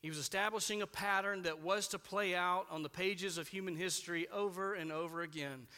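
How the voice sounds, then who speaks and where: Bright-voiced preacher in a reverberant hall. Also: male voice, steady tone, brisk, clean tone. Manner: earnest, building emphasis then dropping low on key words. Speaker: pastor. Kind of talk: sermon.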